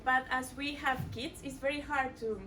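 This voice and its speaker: A woman with a Mexican accent presents a project. She is hesitant and fills her pauses often. Her voice is somewhat high, and she is heard at a distance from the mic. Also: fairly even intonation, bright, forceful tone, soft background music. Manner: hesitant, with frequent fillers. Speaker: student presenter. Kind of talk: scripted pitch presentation. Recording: voice from far off the mic.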